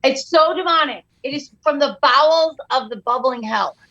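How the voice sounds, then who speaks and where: Bright, animated comedic woman. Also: high voice, normal speed, muffled recording. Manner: animated, jokey, exaggerated. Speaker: comedian guest. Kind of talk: comedic podcast banter.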